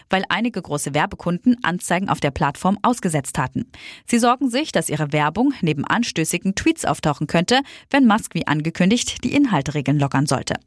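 A female newsreader with a somewhat low voice reads scripted news in an upbeat tabloid manner. The studio sound is dry and close. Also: no room sound.

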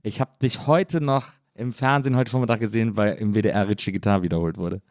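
A laid-back man hosting a casual conversation, with an easy laugh. His voice is warm and mellow at a middle pitch, recorded dry and close with no room around it. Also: no echo. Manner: relaxed, quick to laugh, fan-like. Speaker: interviewer host.

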